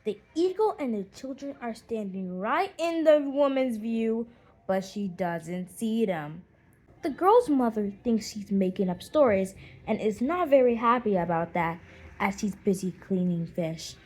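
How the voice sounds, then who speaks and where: A woman reading a children's audiobook in an expressive, animated storytelling manner. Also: medium pitch, measured pace. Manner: animated storytelling with acted character voices. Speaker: audiobook narrator.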